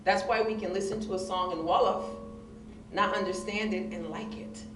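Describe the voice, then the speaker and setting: A woman's voice: mid-range voice, moderate pace, over music. Big-voiced woman, distant in an echoey room. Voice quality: big, loud voice. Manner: expressive, laughs often, emphatic. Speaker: panelist, hip hop poet. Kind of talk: panel discussion answer.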